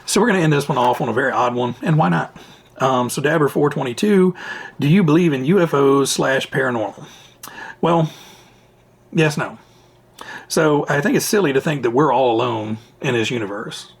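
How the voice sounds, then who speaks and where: This is a man with a tired, raspy voice, talking to viewers in a relaxed, rambling way. He keeps stopping and restarting his thoughts. It sounds like a home vlog recorded on a camera mic. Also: medium pitch, moderate pace. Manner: rambling, chatty, self-interrupting. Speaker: RC hobby channel host. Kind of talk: casual Q&A vlog talk. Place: at home, indoors.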